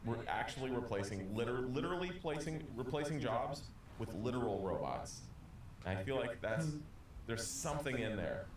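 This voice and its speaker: A man co-hosting a casual podcast, talking in halting bursts with many restarts and "you know"s. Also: medium pitch, big pitch swings, distant mic. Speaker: video creator and podcast co-host. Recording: echoey room with faint noise.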